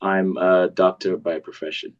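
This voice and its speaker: A man from South Africa speaks as a doctor on a panel, working through numbered points with frequent fillers. His voice is very low. The recording sounds dull and lo-fi. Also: level tone, normal speed. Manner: hesitant, lists points with fillers. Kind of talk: panel discussion.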